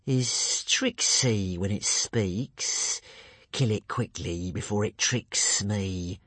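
Huge dim monster, drawling very slowly, hissing and husky, sulky menace for a children's story. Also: male voice, fairly low pitch, a bit bright, no room sound. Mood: sullen menace. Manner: slow, drawn-out, musing, simple broken grammar. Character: huge slow-witted male tentacled cave monster, menacing, sulky. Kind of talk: children's audiobook character voice.

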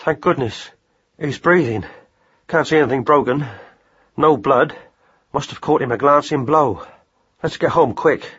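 A man's voice: medium pitch, a little slow, long pauses, a bit bright, breathy edge, lo-fi sound. A man voicing a flustered, kindly working rat-catcher in a storybook, muttering in short clipped fragments. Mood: relieved, worried.

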